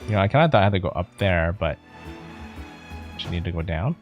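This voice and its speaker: A male game streamer with a low voice gives casual commentary. He muses and thinks aloud over faint game music. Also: animated, a little fast, lo-fi sound. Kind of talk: casual let's play commentary.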